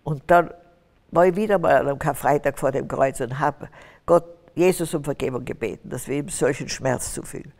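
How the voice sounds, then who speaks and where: Older woman, fervent preacher type, gravelly tone, hall reverb. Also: low-pitched, normal speed, darkish tone. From Austria. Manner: fervent, preaching, rising to emphatic bursts. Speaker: guest. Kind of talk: testimony interview on stage. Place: stage with curtains, large hall.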